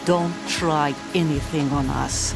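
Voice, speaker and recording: A woman's voice: low voice, fairly even intonation, takes it slowly, creaky, heavily acted. A woman playing a hard young mercenary commander in a video game, curt and guarded. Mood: firm, resolute. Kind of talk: dramatic video game voice acting. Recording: music behind the voice.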